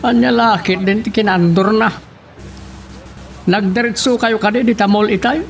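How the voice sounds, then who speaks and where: A man's voice: high-pitched, steady tone, moderate pace, gravelly. A man with a Filipino accent playing a secretive, commanding boss in a radio drama. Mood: scheming, commanding. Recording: vehicle and street noise behind.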